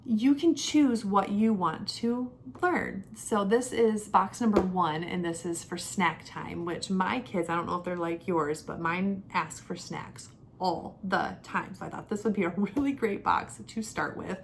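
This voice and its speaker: A woman's voice: mid-range voice, a little slow, creaky, hardly any pauses, slight background noise. A young adult woman talking casually to camera in a product review, chatty and conversational, leaning on key words. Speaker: homeschooling mother and vlogger.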